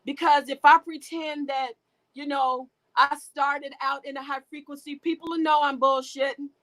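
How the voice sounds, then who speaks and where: A middle-aged woman candidly telling her life story as an interview guest, halting and restarting, often laughing. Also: high voice, steady tone, a bit bright, rough recording.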